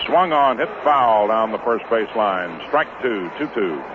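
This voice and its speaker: A man's voice: on the low side, expressive, a little slow. An American man calling baseball play-by-play in clipped short phrases, in the style of 1950s radio sports commentary. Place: outdoor ballpark broadcast. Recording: muffled, noisy 1950s radio broadcast.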